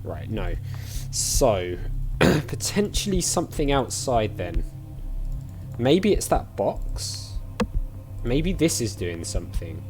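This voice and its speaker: Lively male gamer thinking aloud. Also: medium pitch, slow. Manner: musing aloud, chatty. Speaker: gamer playing an adventure game. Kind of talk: casual let's play commentary. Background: game music.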